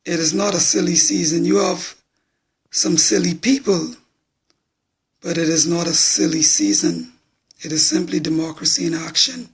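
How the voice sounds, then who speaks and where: Hoarse bahamian man, forties, high gravelly voice, slow blunt talk. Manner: blunt, plain-spoken. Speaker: political supporter. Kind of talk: live social media talk.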